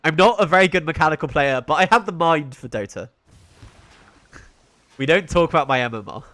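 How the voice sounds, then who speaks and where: A man's voice: a little high, expressive, brisk, fairly bright tone, dry recording. A young British man giving solo play-by-play commentary on a live esports stream, chatting with his viewers between plays. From Britain. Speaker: esports caster.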